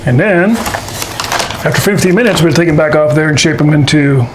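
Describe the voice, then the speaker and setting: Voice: medium pitch, fairly quick, background noise. A folksy, jokey host casually talking through a baking demonstration to camera. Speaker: host of a paranormal show doing a baking segment.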